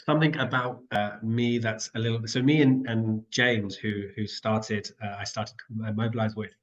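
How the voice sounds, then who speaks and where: A man talking over a video call, stuffed up with a cold so his voice sounds nasal. His voice sits low, and the audio comes through dull and lo-fi. He talks in a loose, rambling way, breaking off his own sentences. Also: steady tone, normal speed. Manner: rambling, self-interrupting, apologetic. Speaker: guest carer and co-founder. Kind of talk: casual online panel chat.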